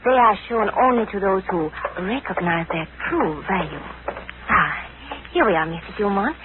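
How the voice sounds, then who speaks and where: A woman's voice: mid-range voice, expressive, natural voice. Woman playing an elegant secretive antiques dealer, noisy old radio. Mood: gracious. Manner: formal, gracious, deliberate phrasing. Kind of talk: 1940s radio acting. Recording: muffled, noisy 1940s radio recording.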